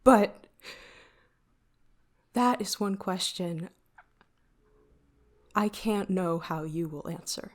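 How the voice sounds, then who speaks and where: A woman playing a calm, earnest starship AI in an audio drama, with measured, formal and deliberate phrasing. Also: lowish voice. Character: calm, earnest female starship AI caring for passengers.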